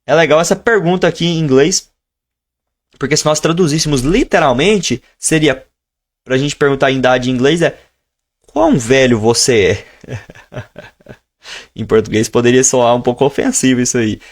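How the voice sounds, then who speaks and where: A man teaching a live online lesson, explaining at a relaxed pace and stretching out example phrases slowly so learners can follow. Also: medium pitch. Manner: switches between teaching tone and slow, drawn-out modeled phrases. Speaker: English teacher.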